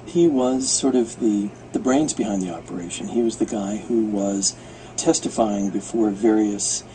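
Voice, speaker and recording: Halting American man, mellow lowish voice. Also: slight background noise, poor audio. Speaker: radio producer and guest commentator. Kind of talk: unscripted explanatory interview talk.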